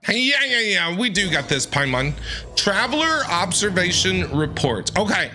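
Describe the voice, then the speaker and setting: Animated bright-voiced woman game streamer. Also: fairly low pitch, moderate pace, a little hiss or hum. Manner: playful, exclamatory. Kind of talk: casual reactive gameplay commentary. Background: game music.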